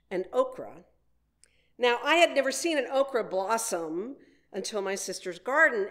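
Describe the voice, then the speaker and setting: A woman's voice: mid-range voice, a bit bright. A woman giving a conversational sermon built on personal stories, with self-deprecating humor. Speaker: minister preaching.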